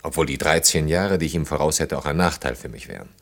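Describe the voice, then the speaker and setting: A man's voice: a bass voice, fast. An actor in a German radio play, playing an earnest, self-reproaching man in his thirties in love, speaking in an intimate, ruminative way. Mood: agitated, arguing. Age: 30s.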